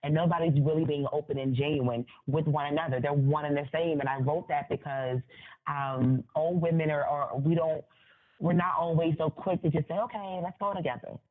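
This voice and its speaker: A woman's voice: sits low, fairly even intonation, normal speed. A woman novelist talks candidly as a guest in an interview, in a casual conversational style. Her voice comes through thin and narrow, like a phone line.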